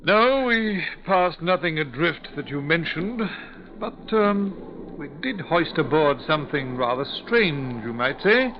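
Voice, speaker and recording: An actor playing a courteous, authoritative sea captain with a probing, slightly halting manner in a 1940s radio drama. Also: male voice, fairly high pitch, animated. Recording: muffled, faintly noisy 1940s radio broadcast.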